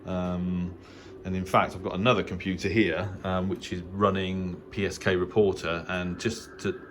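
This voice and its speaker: A man's voice: deep voice, flat delivery, normal speed, a little hiss or hum. A middle-aged man with an English accent gives a casual, unscripted tour in an understated, hesitant, rambling way. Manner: hesitant, understated, rambling delivery with trailing asides. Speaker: amateur radio hobbyist giving a tour. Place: indoor home radio shack.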